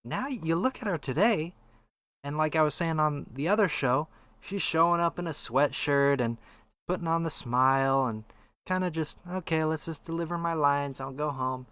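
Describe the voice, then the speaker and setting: A man's voice: fairly high pitch, normal speed, pushed and bright, dry recording. A man co-hosting a casual podcast discussion, conversational, reading out passages and asking his co-host's view. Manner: conversational, reads quotes aloud, asks for opinions. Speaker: podcast co-host.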